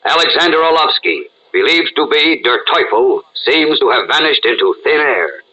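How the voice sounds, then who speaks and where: A male radio serial narrator with a dramatic, urgent delivery. His voice is slightly high, bright and raspy, in the manner of 1940s broadcast announcing. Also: expressive, normal speed, dull audio. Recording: old radio broadcast recording.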